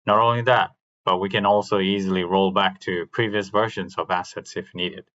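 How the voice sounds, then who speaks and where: A man's voice: sits low, steady tone, measured pace, a bit bright, highs cut off. A man giving a scripted technical presentation as a technical artist.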